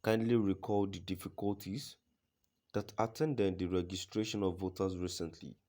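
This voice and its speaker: A man reading scripted news reports in a formal, deliberate manner as a news reader. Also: deep voice, steady tone, a little mellow.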